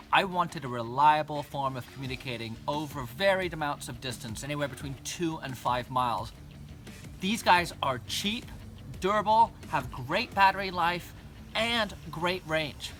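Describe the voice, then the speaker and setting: A middle-aged American man narrates a scripted gear review. His voice sits slightly high, and his delivery is plain-spoken. Soft music runs behind him. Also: distant mic. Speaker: presenter of an off-road gear channel.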